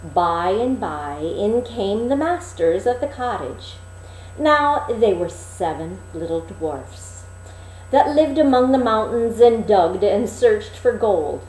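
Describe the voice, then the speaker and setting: A woman's voice: medium pitch, lively intonation, takes it slowly, a little mellow, a little hiss or hum. A woman reading a fairy tale aloud expressively as a storyteller. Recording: echoey room.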